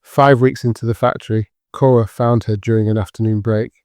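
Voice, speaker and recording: A man narrates serious fiction in a mellow, dark voice with little rise and fall. His delivery is sombre and measured, and the recording is dry and close. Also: fairly low pitch, fairly even intonation, smooth, no echo. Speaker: audiobook narrator. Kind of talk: scripted dramatic fiction narration.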